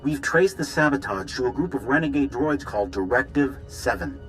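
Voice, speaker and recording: A man's voice: mid-range voice, lively intonation, fairly quick, a bit bright, close to an everyday voice, poor audio. Grave intelligence director, played by a man, music underneath. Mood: grave, urgent. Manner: clipped, earnest, briefing. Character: grave, urgent male military intelligence director. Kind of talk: video game voice acting.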